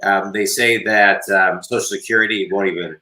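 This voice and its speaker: Host, man in his forties, low, bright tone, eager questions, roomy echo. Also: fairly even intonation, moderate pace. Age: 40s. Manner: eager, repeats "right?" and "so" often. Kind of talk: live business interview.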